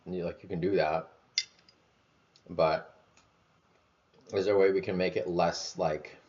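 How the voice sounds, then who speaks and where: A man with a very low voice muses out loud in a relaxed, rambling flow, packing his talk with fillers and a casual curse now and then. The sound is dull and lo-fi. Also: measured pace. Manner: loose, filler-heavy, swears casually. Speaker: vlogger.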